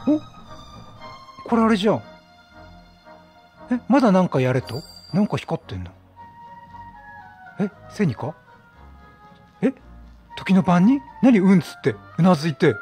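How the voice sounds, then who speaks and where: Chatty, high-voiced male game streamer. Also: sing-song, measured pace, pauses often, a little mellow. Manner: chatty, teasing, reactive. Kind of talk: casual let's play commentary. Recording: game music behind the voice.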